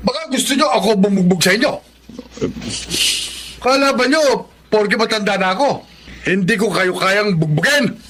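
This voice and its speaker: A man's voice: high-pitched, expressive, moderate pace, pauses often, pushed and bright. A man playing an elderly, stern and protective uncle in a Tagalog radio drama, speaking in an admonishing, preachy way. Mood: stern scolding.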